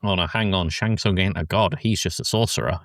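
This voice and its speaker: A man with a fairly low voice hosts a casual film chat. He rambles loosely and sounds a little congested, as if sick. The recording is close and dry, with little room sound. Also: fairly quick, fairly bright tone, no echo. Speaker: podcast host.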